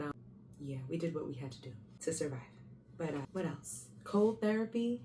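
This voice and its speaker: A woman's voice: low voice, warm tone. A young woman, a stage performer, chatting in a rambling, self-correcting way as she shares her routine in a casual vlog. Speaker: Broadway performer sharing tips. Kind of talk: casual vlog talk to camera. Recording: faint background hum, mic at a distance.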